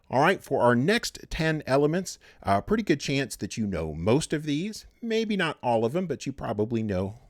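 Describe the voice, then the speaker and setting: Low voiced guy walking through a chem lecture, lots of uhs, pitch jumps around, dry room. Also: no room sound. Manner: relaxed, many filler words and restarts. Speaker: chemistry instructor. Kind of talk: recorded lecture.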